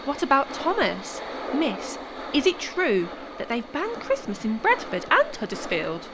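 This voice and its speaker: A woman's voice: high-pitched, huge pitch swings, unhurried, noisy background, full character voice. A woman playing an anxious, earnest young elf helper in a radio play. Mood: worried.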